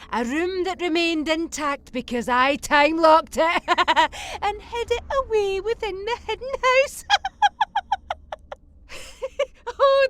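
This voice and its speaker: A woman's voice: high voice, a bit bright, heavily acted. A woman playing a gleefully insane, murderous alien villainess in a theatrical fan audio drama, with an arch, flippant manner and dramatic stresses. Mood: gloating.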